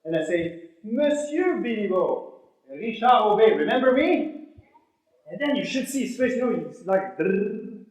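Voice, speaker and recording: A man's voice: high-pitched, measured pace. A man with a French-Canadian accent, a native French speaker, giving a motivational keynote speech and acting out his stories with animation. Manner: animated storytelling, acting out dialogue. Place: large room, speaking to a live audience. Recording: very echoey hall sound.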